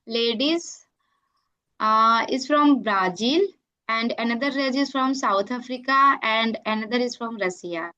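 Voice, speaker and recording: A woman's voice: on the high side, a little slow, sharp tone, highs cut off. A woman with an Indian accent chatting casually in non-native English, often checking in with short tags. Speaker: YouTuber and online English teacher. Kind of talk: casual English practice conversation.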